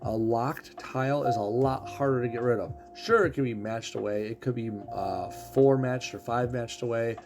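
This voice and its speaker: A man casually explaining a game character's abilities, throat rough as he is losing his voice. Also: medium pitch, normal speed, hardly any pauses, noisy background. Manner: explanatory, walks through ability text. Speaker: game streamer.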